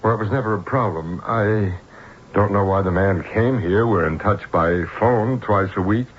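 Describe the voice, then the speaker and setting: Radio drama lead, male actor: jumpy American businessman, lowish pitch, on a hissy, dull vintage recording. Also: moderate pace, lightly acted. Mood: edgy, strained. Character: jumpy, brisk American businessman, a husband under strain. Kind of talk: old-time radio drama acting. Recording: muffled, noisy old radio broadcast.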